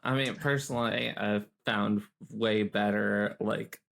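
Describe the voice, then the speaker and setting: A man's voice: lowish voice, fairly even intonation, takes it slowly, vocal fry, fairly bright tone. A man in casual, informal conversation as a podcast co-host. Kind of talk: casual chat.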